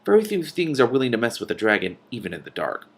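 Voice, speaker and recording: A man voicing a calm, matter-of-fact female dragon in a storybook, composed and explanatory. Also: medium pitch, quick pace, breathy edge, heavily acted. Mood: calm, reassuring.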